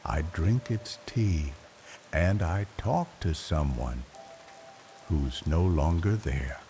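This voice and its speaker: Very slow, deep older male storyteller. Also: expressive, soft background music. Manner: reflective, wistful, with long pauses. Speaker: bedtime story narrator. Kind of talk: slow, hushed scripted bedtime storytelling. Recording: rain noise behind the voice. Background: soft rain ambience.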